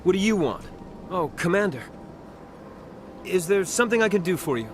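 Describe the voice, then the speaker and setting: A man's voice: sits high, very animated, moderate pace, slight background noise. An actor playing a nervous, naive young private in a video game, flustered and hesitant as he talks. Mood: startled, eager. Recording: game music behind the voice.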